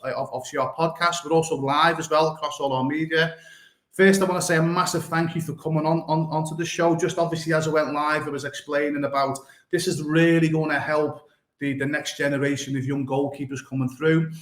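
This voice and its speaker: A man's voice: on the high side, level tone, fairly quick, roomy sound. A man hosting a live podcast interview, effusive and full of fillers like "obviously" and "brilliant". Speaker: podcast host.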